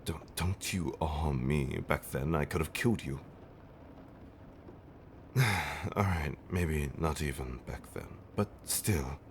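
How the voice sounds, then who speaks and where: Deep-voiced, theatrical male vampire played by a man. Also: takes it slowly, slight background noise. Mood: tender, teasing. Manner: theatrical, old-fashioned wording, teasing, dramatic swells and whispers. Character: affectionate, theatrical centuries-old male vampire husband. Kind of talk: ASMR roleplay storytelling.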